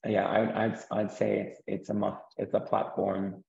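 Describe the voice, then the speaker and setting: A man being interviewed, talking earnestly about his path and often restarting his words, with a croaky voice. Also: lowish voice, completely flat delivery, measured pace, darkish tone, highs cut off. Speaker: guest, property investor. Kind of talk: interview conversation.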